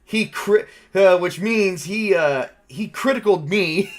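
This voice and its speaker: A man casually narrating a tabletop role-playing game as game master, excitable and quick to swear. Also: sits high.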